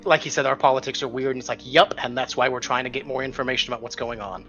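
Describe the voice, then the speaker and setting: Bright-voiced man at a game table. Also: mid-range voice, steady tone, fast talker, highs cut off, soft background music. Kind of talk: casual chat while gaming.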